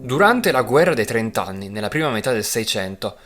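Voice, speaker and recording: A man presenting a travel documentary, speaking in a measured way with some hesitations. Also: fairly low pitch, big pitch swings, fairly bright tone. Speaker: travel reporter. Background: music.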